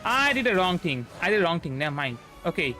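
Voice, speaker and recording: A young man with a high, bright voice and an Indian Bengali accent chats casually over a video game. He rambles, hesitates and repeats himself as he streams, with faint music behind him. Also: sing-song, fast. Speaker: livestreamer, VTuber. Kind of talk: casual gameplay commentary.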